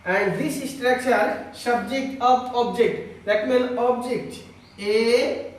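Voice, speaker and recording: A man teaching an online grammar lesson in a halting, instructional way, explaining step by step to his students. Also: very high-pitched. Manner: halting, instructional, with repeated check questions. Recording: very echoey room, distant mic.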